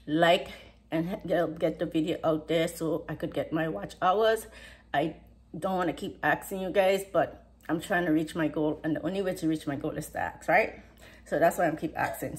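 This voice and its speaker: A woman's voice: lowish voice, a little mellow. A middle-aged woman with a US Virgin Islands Caribbean accent, chatting casually to camera as a vlogger, emphatic and given to repeating her phrases. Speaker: vlogger, host. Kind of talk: casual vlog chat to camera. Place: home kitchen, indoors.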